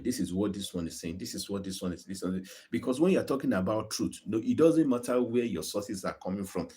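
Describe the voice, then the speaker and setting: A Nigerian man with a Yoruba accent talks straight to his channel's viewers. His voice sits low and a bit husky, and he argues earnestly, circling back and repeating words as he builds each point. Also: darkish tone. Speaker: YouTube channel host. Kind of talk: live-streamed opinion talk to viewers.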